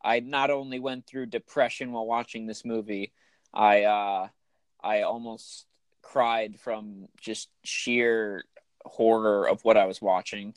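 A man with a raspy voice co-hosting a casual podcast, talking in an enthusiastic, joking, rambling way. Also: lowish voice, little pitch movement, takes it slowly, dry recording. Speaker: podcast co-host. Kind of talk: casual chat.

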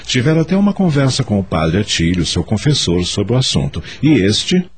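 A man's voice: lowish voice, big pitch swings, normal speed, husky, soft background music. A man with a Brazilian accent narrating a scripted radio drama in a dramatic storytelling style.

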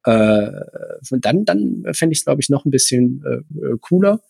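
A man's voice: mid-range voice, lively intonation, unhurried, creaky, a little mellow, husky, no echo. A man who co-hosts a podcast and coaches running, explaining things at length with many hesitations. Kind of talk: casual chat.

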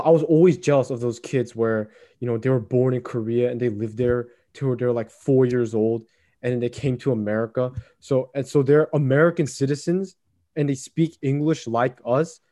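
A young man with a mellow, darker voice talks through his thoughts in relaxed conversation. He hesitates often, restarting sentences and leaning on "you know". His pitch sits in the middle range for a man. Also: normal speed. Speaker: friend in a group chat. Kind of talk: casual chat.

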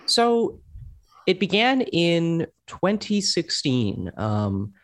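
A man in his thirties from Hong Kong, a guest in a casual talk, speaking haltingly with many restarts and fillers. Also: fairly high pitch, wildly swooping pitch. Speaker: guest, chair of an overseas Democrats group. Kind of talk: casual conversation.

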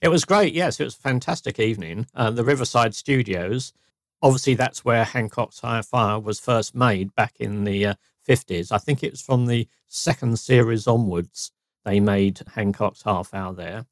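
A man's voice: on the low side, moderate pace, no echo, clean studio sound. A man from England co-hosting a podcast, chatting casually and joking, with fillers along the way. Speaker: podcast co-host. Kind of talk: casual chat.

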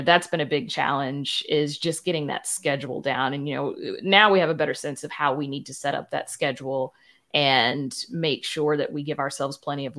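Low-pitched woman, candid and explanatory. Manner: candid, explanatory, frequent "you know". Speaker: podcast guest, audio drama producer. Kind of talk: casual interview conversation.

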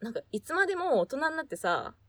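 Lively, high-voiced woman chatting. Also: quick pace, dry recording. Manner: animated storyteller, acts out imagined lines and sound effects. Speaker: podcast co-host. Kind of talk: casual chat among friends.

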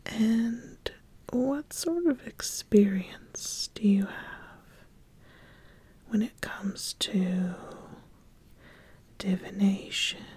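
A woman playing an ambitious, discerning witch in an ASMR roleplay, speaking in a soft, breathy whisper with slow, deliberate questioning. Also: medium pitch, heavily acted. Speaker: host of an ASMR channel.

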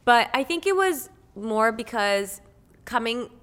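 A woman's voice: a little high, lively intonation, slow pace, fairly bright tone. A woman in her twenties from Cuba, a podcast guest talking candidly and earnestly in casual chat, with many filler words. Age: 20s.

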